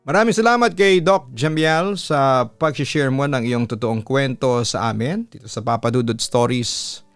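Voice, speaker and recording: A man's voice: mid-range voice, unhurried, clear, resonant tone, no echo. A man with a Filipino accent reads a listener's letter as a scripted story, in the role of a radio announcer and host. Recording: music behind the voice. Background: background music.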